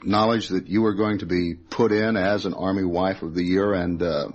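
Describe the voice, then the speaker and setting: A man with an American accent conducting a semi-formal radio interview as a reporter, asking questions in a halting way. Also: sits low, steady tone, dull audio.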